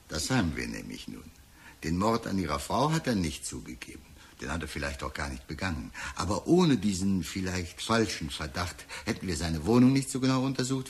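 An actor playing a talkative, sly police detective in a radio drama, deliberate and insinuating, with falsely sympathetic musing. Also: male voice, low-pitched. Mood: smug, satisfied. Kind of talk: German radio drama acting.

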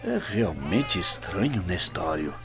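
Slow, swooping, suspicious Roman gentleman. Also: low-pitched, big pitch swings, heavily acted. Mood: intrigued, suspicious. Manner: deliberate, theatrical. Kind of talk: radio drama acting. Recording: dull lo-fi radio drama audio. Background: music.

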